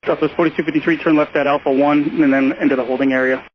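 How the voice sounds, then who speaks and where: A man's voice: a little high, fairly even intonation, very fast. A man working as an air traffic tower controller, giving clipped procedural instructions over aviation radio. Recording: muffled, lo-fi radio with faint noise.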